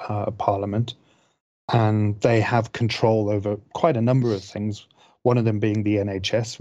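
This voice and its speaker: A man from Zimbabwe talking as a podcast panelist, explaining things casually in a careful, halting way. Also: fairly low pitch, warm tone, dry recording. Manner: measured, careful with wording, halting. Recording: dull, dry close recording.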